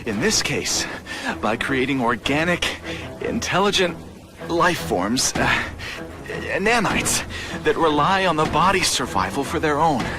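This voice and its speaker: A rattled scientist in a video game. His fairly high voice moves up and down as he haltingly explains and defends himself, with music underneath. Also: lively intonation. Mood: halting, grave. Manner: halting, hesitant. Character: shaken, defensive male corporate scientist.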